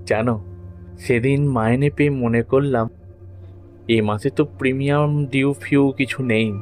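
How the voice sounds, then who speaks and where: Man acting a weary husband, mid-pitched, hesitant, confiding, reflective, audio drama, faint music behind. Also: a little mellow, close to an everyday voice. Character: troubled, weary, confiding husband in a Bengali story. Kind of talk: dramatised audio story dialogue.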